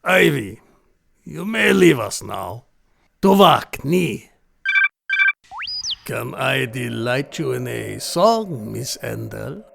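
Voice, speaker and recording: An actor playing a gloating, mock-courteous villainous hunter, pompous and theatrical, in an audio drama. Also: male voice, mid-range voice, big pitch swings, creaky, a bit bright, soft background music, full character voice. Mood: oily, mock-charming. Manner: pompous, formal, drawn-out taunting.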